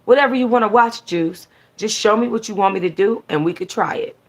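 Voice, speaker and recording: A woman's voice: medium pitch, a little fast, natural voice. A woman voicing a dramatic, sassy, slangy young heroine in an audiobook-style romance reading. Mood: willing.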